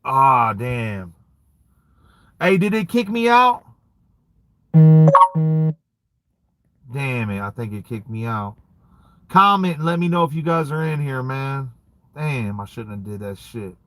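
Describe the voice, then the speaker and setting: A man in his late thirties talks easy and loose on a phone livestream, tossing in "man" and "you know" as he goes. His voice sits in an ordinary middle pitch, relaxed and unhurried. He answers comments like he's chatting with friends. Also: no echo. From United States. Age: 30s. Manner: laid-back street slang, frequent "man" and "you know". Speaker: dog breeder hosting a livestream. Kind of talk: casual livestream chat reading comments.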